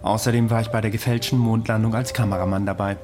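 An actor playing an eccentric, self-assured psychiatric patient who lectures insistently in a radio drama. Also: male voice, on the low side, steady tone, quick pace, music in the background, close to an everyday voice. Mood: insistent, lecturing. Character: eccentric male psychiatric patient, insistent conspiracy storyteller, cocky. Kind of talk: German radio drama acting.

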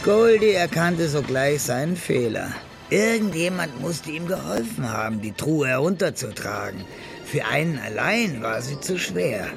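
A man's voice: on the high side, sing-song, unhurried, gravelly, music in the background. A man narrating a radio crime drama from a script.

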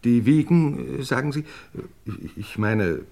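An actor playing an impatient, easily flustered aristocratic lord in an old German radio crime play, brusque and pompous. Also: male voice, mid-range voice, a little slow, gravelly, dark tone. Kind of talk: vintage German radio crime drama acting.

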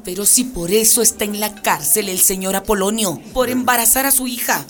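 A woman's voice: medium pitch, fairly quick, soft background music, close to an everyday voice. An actress playing a sanctimonious, gossipy, pious church lady in a radio drama, accusing and melodramatic.

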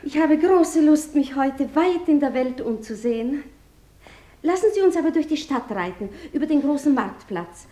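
An actress playing an eager, gracious young princess in a radio play, with refined, exclamatory speech. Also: female voice, sits high, animated, moderate pace, warm tone. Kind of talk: classic radio play acting.

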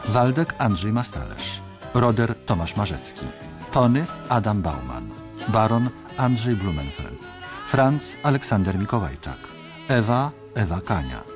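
Formal male radio announcer over music. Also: fairly low pitch. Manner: measured, formal. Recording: radio broadcast with music underneath.

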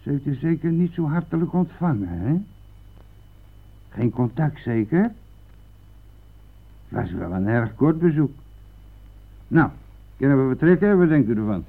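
A man's voice: medium pitch, very animated, measured pace, long pauses. An actor playing a chatty, coarse, self-pitying, hard-drinking bus driver who needles his passenger in a colloquial radio-drama style. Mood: nosy, needling. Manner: garrulous, jovial, needling, colloquial. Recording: tinny, band-limited, noisy old radio-play recording.

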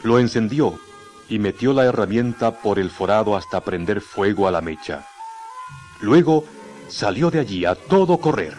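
A man's voice: lowish voice, animated, normal speed, a little mellow. A man with a Chilean accent narrating a scripted radio drama in a solemn, literary storytelling manner. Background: music.

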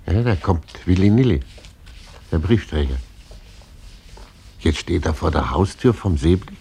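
An old blind sea captain. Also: male voice, low-pitched, animated, unhurried, creaky, mellow, husky, heavily acted. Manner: chuckling, gossipy running commentary. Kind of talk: radio play acting.

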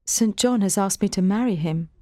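A woman plays an earnest, troubled young woman in a storybook drama. She speaks plainly but with feeling, in a mellow voice with a dry, close sound. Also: mid-range voice, moderate pace, no room sound, natural voice. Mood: miserable, earnest.

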